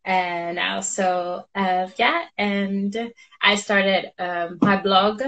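Casting: young woman, English, warm and light, guest on a phone video call. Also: medium pitch, steady tone, extremely slow, vocal fry, highs cut off. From Honduras. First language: Spanish. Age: young adult. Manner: warm, laughs lightly between phrases. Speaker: guest, law student. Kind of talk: live video chat interview. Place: indoor room. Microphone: phone front camera.

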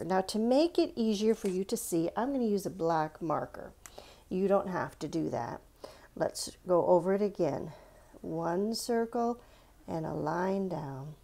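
A woman's voice: medium pitch, creaky, warm tone. A woman giving a step-by-step drawing lesson for young children in simple, encouraging words, often checking in with questions. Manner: simple, encouraging, instructional, asks the listener questions.

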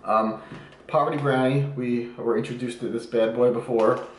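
A man's voice: mid-range voice, steady tone, moderate pace, reverberant, a little hiss or hum, low-quality recording. A man in his twenties vlogging his meals at home, explaining his diet tips in a casual, upbeat way while eating on camera. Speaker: fitness vlogger. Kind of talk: casual food vlog. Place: small room at home, then home kitchen.

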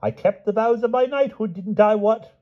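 A man reading an audiobook, voicing a flustered, excitable old king who exclaims in a shrill voice. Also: a little slow, muffled recording, full character voice. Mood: delighted. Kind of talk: audiobook character voice.

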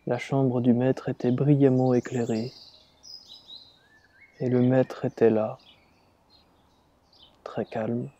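Man, very mellow dark tone, slow grave literary recitation, restrained intonation, rebel slave monologue. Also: mid-range voice, flat delivery, muffled recording. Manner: grave, deliberate, with short measured phrases. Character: dying rebel slave reliving his revolt, grave and defiant. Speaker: reader performing a dramatic monologue.

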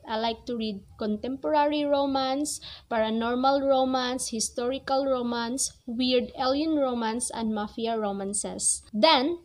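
A young woman in her twenties, not a native English speaker, talking earnestly to the camera about reading in a small room. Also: a little high. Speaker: book vlogger. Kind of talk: casual talk to camera. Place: small cozy bedroom with string lights. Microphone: camera at close range.

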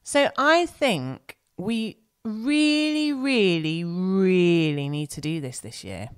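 A woman's voice: fairly low pitch, animated, slow, clean tone. A woman with an English accent co-hosting a podcast, chatting in a rambling way, searching for words while she has a cold. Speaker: podcast co-host. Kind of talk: casual chat.

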